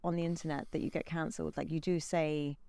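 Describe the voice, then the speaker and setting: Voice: mid-range voice, level tone, fast talker, gravelly, darkish tone, clear, resonant tone, no echo. An interviewer who asks questions in a chatty, polite, slightly hesitant way.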